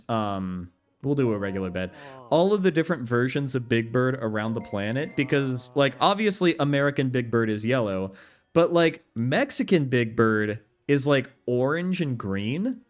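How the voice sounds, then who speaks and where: A young man streaming a video game, chatting casually with his viewers, reading their messages aloud and laughing easily. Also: medium pitch. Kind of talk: casual gameplay commentary.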